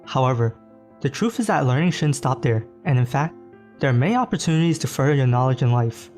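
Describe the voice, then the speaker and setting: A man reading a scripted narration in a smooth, even delivery. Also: mid-range voice, a little fast, faint music behind.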